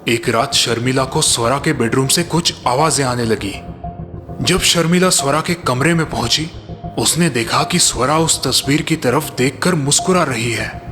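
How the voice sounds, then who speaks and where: A man's voice: medium pitch, normal speed, sharp tone, soft background music. A man narrating scripted moral and horror stories in a dramatic storytelling style.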